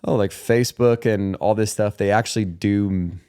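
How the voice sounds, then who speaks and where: Casting: male podcast host, thirties, lowish voice, casual and slangy, easygoing chat delivery. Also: steady tone, moderate pace, dark tone, clean tone. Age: 30s.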